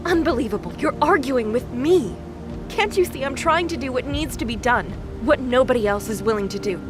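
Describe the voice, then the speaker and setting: A woman's voice: high-pitched, animated, normal speed, music in the background. A woman playing a stern, determined young warrior goddess in an audio drama, blunt and exasperated. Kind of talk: audio drama character acting.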